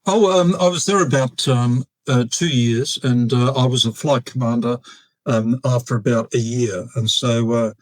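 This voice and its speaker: A man in his sixties with an Australian accent, a guest telling long stories in a casual interview, halting often with fillers and restarts. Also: medium pitch, no room sound, poor audio. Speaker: guest, retired air force officer.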